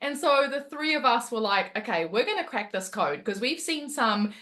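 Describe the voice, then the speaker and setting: A woman with a New Zealand accent tells her story on a video call, her voice bright and forceful and pitched a little high. She speaks as a motivational coach, lively and quick to laugh. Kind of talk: webinar talk on a video call.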